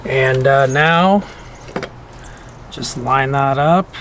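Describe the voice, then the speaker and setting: Casting: DIY how-to vlogger, slow and informal delivery, talks to self while working, clearly noisy on-site sound with clicks. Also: mid-range voice, a bit bright. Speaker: DIY boating vlog host. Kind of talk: casual hands-on how-to narration.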